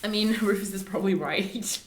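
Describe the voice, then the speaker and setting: A woman's voice: medium pitch, brisk, a little hiss or hum. A Belgian woman with a British-leaning accent, chatting and rambling casually while streaming a game. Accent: Belgian English, leaning British. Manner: chatty and rambling, laughs between thoughts. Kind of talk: casual let's-play chat. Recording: roomy echo.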